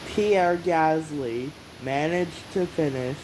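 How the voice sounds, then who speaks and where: Story narrator, very slow and halting, mid-range voice, noisy hissy home recording. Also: medium pitch. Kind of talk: scripted story narration, read aloud slowly with pauses.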